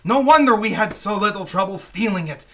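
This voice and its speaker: An actor playing an excitable, petulant crook in a live old-time radio drama, with theatrical, sputtering delivery. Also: male voice, sits high, brisk. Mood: furious. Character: excitable, petulant male crook, shrill and indignant.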